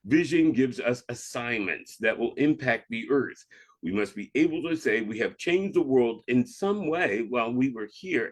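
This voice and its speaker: Male reverend, mid-pitched, deliberate and measured, delivering a sermon-like devotional reading.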